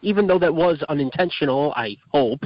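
A man with a slightly rough voice, giving casual match opinions as a wrestling podcast co-host. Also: fairly high pitch, lively intonation, a little fast, no room sound, muffled recording. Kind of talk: casual sports review chat.

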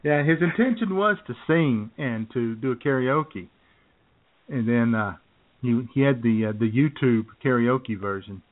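A man co-hosting a radio comedy show in casual banter, jokey and a little halting, often repeating his phrases. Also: mid-range voice, animated. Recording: muffled phone-like internet radio audio.

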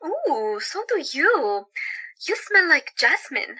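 A woman's voice: sits high, big pitch swings, takes it slowly, bright, forceful tone, full character voice. An actress playing a joyous woman doctor in an audio drama, with playful, flirtatious banter. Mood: flirty, teasing.

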